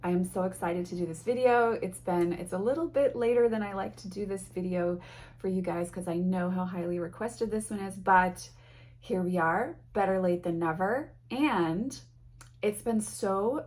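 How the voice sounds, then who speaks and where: A woman's voice: fairly low pitch, moderate pace, a little mellow. A woman chatting casually and effusively to her viewers as a tarot vlogger, a little sniffly from allergies. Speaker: tarot content creator. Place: home.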